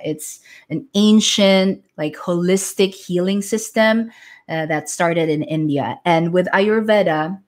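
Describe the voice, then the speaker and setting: A woman in her forties, a yoga teacher from the Philippines, talking frankly and casually to viewers on a live stream, often restarting her sentences. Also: on the low side. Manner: chatty, frank, restarts sentences, laughs lightly.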